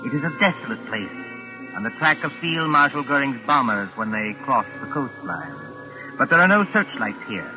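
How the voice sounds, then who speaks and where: A man's voice: mid-range voice, natural voice, low-quality recording. A man acting as the solemn, atmospheric narrator of a 1940s radio suspense play, reading scripted descriptive prose. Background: dramatic music.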